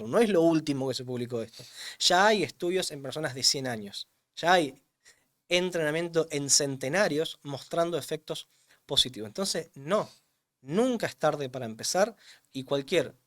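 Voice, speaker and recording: This is a man, talking as an interview guest. He explains with emphasis and leans on repeated words. His voice sits a little high for a man. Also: fairly bright tone. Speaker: strength coach for older adults, guest. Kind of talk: interview answers.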